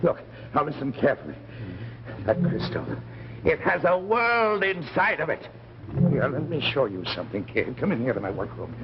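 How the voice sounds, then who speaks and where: An actor playing an obsessive, excitable professor who pleads in halting, repeated phrases in a 1950s drama. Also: male voice, mid-range voice. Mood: urgent pleading. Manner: agitated, halting, repeats words. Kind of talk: 1950s anthology drama acting. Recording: noisy, muffled 1950s broadcast recording.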